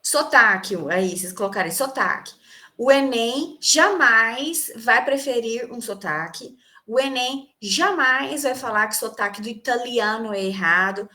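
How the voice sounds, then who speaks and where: A woman's voice: on the high side, pushed and bright. A woman English teacher from Brazil giving an online class on a video call, explaining terms and quizzing her students. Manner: explanatory, asks students questions and answers them.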